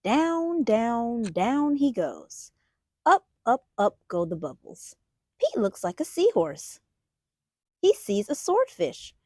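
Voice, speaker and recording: A woman's voice: a little high, big pitch swings, takes it slowly, darkish tone, no echo, studio quality. A woman hosting a children's storytime, reading a picture book aloud expressively and putting questions to young listeners. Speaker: children's storytime host and reader.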